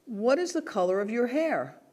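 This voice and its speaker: A woman playing an official interviewer, reading scripted questions in a formal, measured way. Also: on the high side, very animated, dark tone. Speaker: role-played citizenship interviewer.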